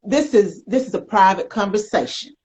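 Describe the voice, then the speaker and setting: A woman's voice: medium pitch, lively intonation, normal speed, a little mellow. An American woman hosting a casual interview in a chatty, playful, folksy way. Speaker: talk show host.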